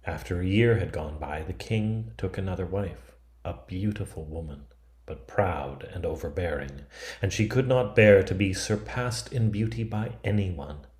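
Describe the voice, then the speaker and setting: A man in his fifties reading a storybook aloud in a measured, expressive, dramatic way. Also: low-pitched. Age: 50s. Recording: roomy, echoing sound.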